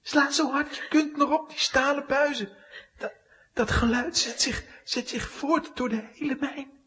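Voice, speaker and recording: A woman playing an earnest, brave young boy in a children's audio play, speaking in a breathless, halting way. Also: sits high, fairly bright tone. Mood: urgent encouragement.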